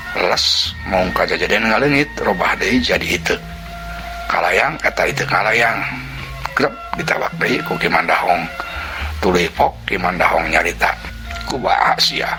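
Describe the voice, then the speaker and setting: A man's voice: sits low, normal speed. A man with a Sundanese accent narrating a folk tale vividly, voicing its sound effects himself. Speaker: storyteller. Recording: narration over loud music.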